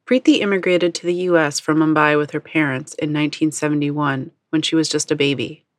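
Woman, reporter, scripted narration, very low pitch, even delivery, dry. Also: brisk, no echo.

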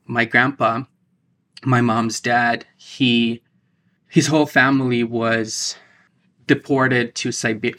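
Man, 30s, interview guest, monotone, hesitant delivery. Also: medium pitch. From Ukraine. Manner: halting, many restarts and fillers. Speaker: interview guest, divinity student. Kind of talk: personal recollection in an interview.

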